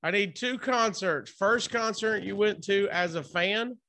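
High, bright-voiced male radio interviewer. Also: measured pace. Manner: informal, jokey. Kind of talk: casual interview chat.

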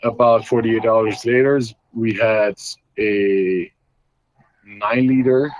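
Low-voiced Belgian man talking shop. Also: fairly even intonation, slow, darkish tone, dry recording, rough recording. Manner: explains at length with many fillers and restarts. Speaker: guest, custom Jeep builder. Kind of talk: casual interview talk.

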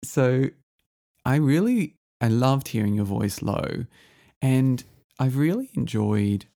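Gravelly man hosting a music chat. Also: mid-range voice, lively intonation, slow pace, dark tone. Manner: rambling, enthusiastic, many fillers. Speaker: podcast host. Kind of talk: casual music interview.

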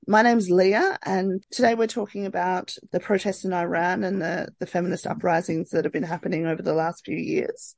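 A woman's voice: medium pitch, darkish tone, no room sound. A woman hosting a podcast, giving introductions and welcoming guests. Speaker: podcast host. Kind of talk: podcast introduction and casual interview.

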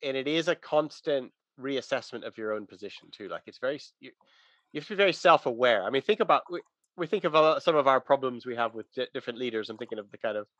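A man's voice: medium pitch, fast talker, a bit bright, dry recording, lo-fi sound. A man talking through religious ideas in a casual, conversational but emphatic teaching manner.

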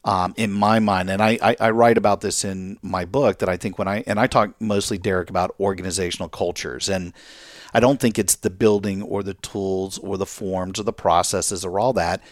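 A man hosting an interview conversation, thoughtful, building his points slowly and asking sincere questions. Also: sits low, fairly even intonation, dry recording, clean studio sound. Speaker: podcast host and author.

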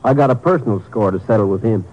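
An actor playing a folksy rural sheriff with a grudge, in a 1950s radio drama. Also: male voice, on the low side, fast talker, darkish tone, natural voice. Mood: aggrieved. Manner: folksy, aggrieved, drawling. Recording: dull old radio recording.

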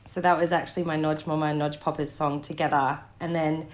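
Low australian woman guest, thoughtful, lots of ums, telling family stories. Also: flat delivery, a little fast. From Western Sydney, Australia. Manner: thoughtful, many ums and restarts. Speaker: interview guest, director and actor. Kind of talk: reflective conversational storytelling.

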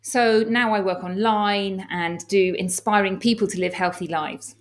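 A woman's voice: mid-range voice, fairly even intonation, unhurried. Articulate English woman guest. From England. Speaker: guest, former pediatric doctor. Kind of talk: podcast interview.

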